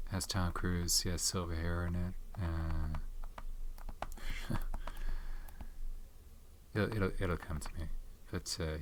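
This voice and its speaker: Soft-spoken deep-voiced man, rambling. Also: a little hiss or hum. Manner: rambling, pauses to recall names. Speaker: ASMR host reviewing movies. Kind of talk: soft-spoken ASMR chat.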